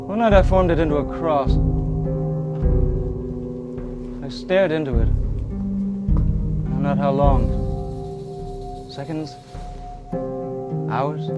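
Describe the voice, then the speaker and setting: An actor on a theater stage playing a tormented, grandiose Victorian murderer in formal, declamatory monologue, with feverish piety. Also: male voice, on the high side. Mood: hushed, awed. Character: tormented male Victorian serial killer, grandiose, feverishly pious. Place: theater stage before an audience.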